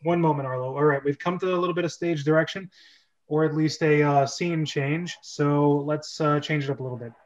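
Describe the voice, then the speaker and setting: A man's voice: fairly high pitch, fairly even intonation, a little fast, dull audio. A man hosting a casual group script reading, chatty and joking, breaking his narration with asides. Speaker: host and script reader. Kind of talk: casual group chat and stage-direction reading.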